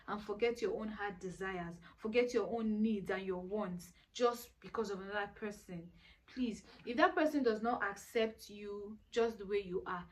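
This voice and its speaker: A woman in her twenties talking to camera in a casual vlog, giving earnest, preachy life advice and repeating herself for emphasis. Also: mid-range voice. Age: 20s. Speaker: vlogger.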